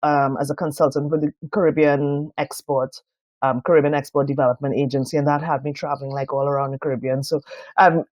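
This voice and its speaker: A Trinidadian woman in her fifties, a designer speaking as a podcast guest, thoughtful, with many pauses and fillers. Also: low voice, flat delivery, a little mellow, dry recording, dull audio. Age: 50s. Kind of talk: reflective podcast interview.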